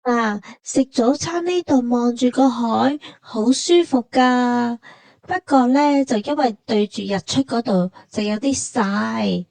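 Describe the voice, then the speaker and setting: A woman's voice: fairly high pitch, slow pace, gravelly, a little mellow, no echo. A woman with a Hong Kong Cantonese accent narrating a travel vlog in casual, colloquial, matter-of-fact banter.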